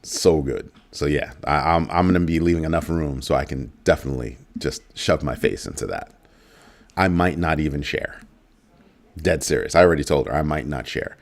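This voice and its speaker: A middle-aged man from the United States hosting a crypto livestream, talking casually to his viewers and repeating phrases with playful asides. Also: moderate pace. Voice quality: deep voice. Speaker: crypto show host.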